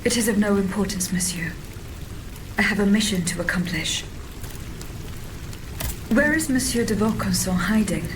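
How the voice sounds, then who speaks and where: A woman playing a dutiful female automaton bodyguard in a video game, calm, terse and formal. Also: mid-range voice, moderate pace, pauses often, noisy background, close to an everyday voice. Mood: cool, dutiful. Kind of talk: dramatic video game cutscene acting.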